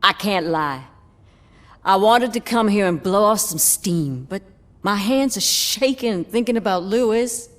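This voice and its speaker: A woman's voice: mid-range voice, lively intonation, unhurried, close to an everyday voice. A woman playing a tough but shaken police officer in a video game, plain-spoken and guarded. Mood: shaken, worried.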